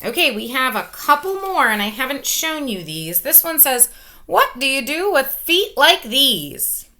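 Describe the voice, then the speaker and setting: A woman in her forties, a teacher reading a picture book aloud to children, expressive and emphatic, with comments and questions along the way. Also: sits high, unhurried. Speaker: elementary school teacher. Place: small furnished room at home, indoors. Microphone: webcam or laptop microphone at arm's length.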